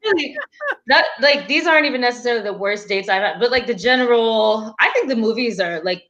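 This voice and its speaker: A woman's voice: a little high, lively intonation, brisk, a bit bright, dull audio. A woman in her early thirties, a guest chatting casually and giggling often. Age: 30s. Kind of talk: casual livestream chat.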